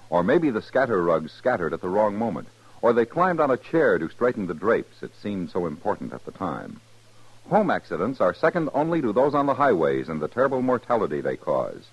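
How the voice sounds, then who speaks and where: Dull-sounding old radio announcer, swooping low voice. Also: male voice, husky. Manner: emphatic, formal delivery. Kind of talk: 1950s radio announcing, scripted. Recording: dull old radio recording, faint music.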